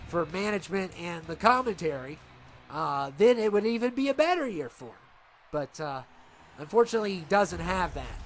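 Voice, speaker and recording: A man with a stuffed-up nose doing rambling, excitable play-by-play for a video game wrestling show. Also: high-pitched. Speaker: commentator.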